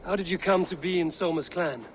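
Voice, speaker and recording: A woman's voice: lowish voice, brisk, close to an everyday voice. Woman acting young Norse warrior woman, direct, probing, dramatic game dialogue, faint noise behind. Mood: steady, probing.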